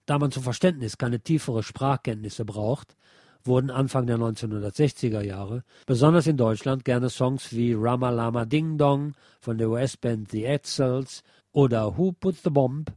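A man reading aloud from a nonfiction book as a radio presenter, in a measured, articulate way. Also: mid-range voice, dark tone, dry recording, clean studio sound. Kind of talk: scripted narration, read aloud from a nonfiction book.

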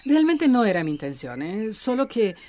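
An actress playing a warm, apologetic healer in a radio drama, with a gentle manner. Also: female voice, medium pitch, big pitch swings, normal speed, slight background noise, lightly acted. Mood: warm, tender.

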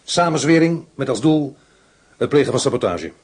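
A husky shrewd police inspector. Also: male voice, medium pitch, very animated. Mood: probing. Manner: probing, measured, dry. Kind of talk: radio play acting.

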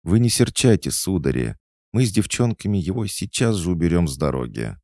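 Man putting on a bustling, high-pitched girl squirrel. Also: sing-song, a little mellow, no echo, clean studio sound. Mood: apologetic. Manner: brisk, apologetic. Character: polite, bustling young female squirrel.

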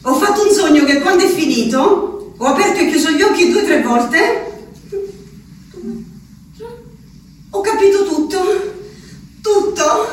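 High-voiced, wistful Abruzzese asylum inmate. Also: female voice. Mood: resigned insistence. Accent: central-southern Italian, Abruzzese. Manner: slow, incantatory, repetitive delivery. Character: institutionalised peasant woman writing to her absent beloved, wistful, unhinged. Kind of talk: theatrical radio drama monologue. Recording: roomy echo, distant mic, faint noise.

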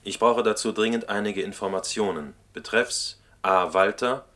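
A man's voice: lowish voice, normal speed, a bit bright, lightly acted. An actor playing a businesslike male judge voicing a letter in a radio play. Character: male judge reading his letter to a colleague. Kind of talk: German radio play acting, letter reading.

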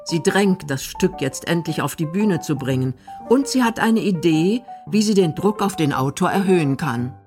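Casting: female radio documentary narrator, low register, measured delivery over clear background music. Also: animated.

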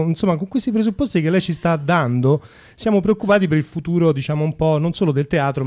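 A man's voice: on the high side, darkish tone. A man interviewing on radio in a semi-improvised satirical style, politely mock-formal, with a hesitant, searching delivery.

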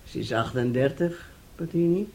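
A woman's voice: very deep voice, normal speed. An actress in a radio drama playing a bitter, sarcastic middle-aged wife and mother who talks reproachfully and theatrically. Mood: scornful. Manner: reproachful, sarcastic, dramatic, swinging between hushed and loud. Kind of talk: radio drama acting in Dutch.

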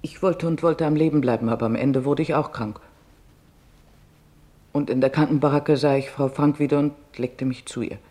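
A man voicing a weary, sorrowful female camp survivor in a radio-play monologue, recalling in a plain, subdued way with a creaky voice. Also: mid-range voice, fairly even intonation, moderate pace, warm tone, natural voice.

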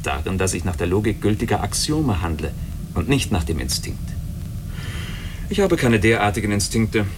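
Over clearly audible background noise comes a human-looking android in a radio play. He is coolly logical and detached, explaining himself articulately with a faintly mocking edge. His lowish voice moves through lively, swinging intonation. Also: normal speed. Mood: detached, reflective. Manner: articulate, lecturing, self-assured. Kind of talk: German radio drama acting.

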